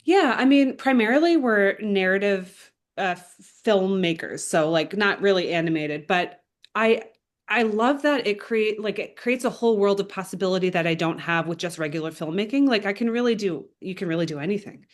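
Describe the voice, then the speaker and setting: A woman's voice: medium pitch, moderate pace. A woman filmmaker answering interview questions in an informal, earnest way. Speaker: animator and filmmaker being interviewed.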